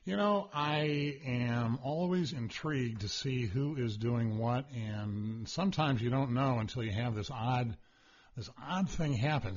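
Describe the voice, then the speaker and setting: A male radio host talks loudly in a loose, rambling way, stammering through restarts. His voice is a little husky. The broadcast sound is dull and lo-fi. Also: medium pitch. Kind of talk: casual radio chat.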